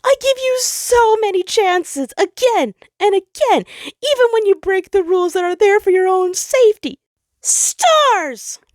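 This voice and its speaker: Woman acting anguished male Sun, shrill and pleading. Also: expressive, unhurried, no room sound. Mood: heartbroken anger. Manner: pleading, crying out in hurt anger. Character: the Sun as anguished male star in human body.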